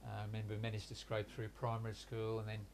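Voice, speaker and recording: Halting older man, flat even voice. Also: fairly low pitch, slight background noise. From Hungary, raised in Australia. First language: Hungarian. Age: 60s. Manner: halting, hesitant, many pauses and restarts. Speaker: interviewee recounting his life. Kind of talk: reflective life-story interview.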